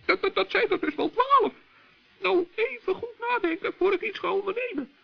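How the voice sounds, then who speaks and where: Plucky little gnome: squeaky, creaky, swooping, excitable; muffled old radio play. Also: male voice, moderate pace, full character voice. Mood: determined, pondering. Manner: excitable, stammering, repeats himself. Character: little forest gnome, plucky, bossy and earnest. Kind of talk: 1960s children's radio play.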